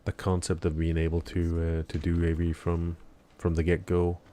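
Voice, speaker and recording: Low, flat-voiced rambling streamer man. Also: moderate pace. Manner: rambling and hesitant, full of fillers and restarts; chatting with viewers. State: still sleepy in the morning. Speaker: live streamer. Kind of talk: casual live-stream chat while gaming.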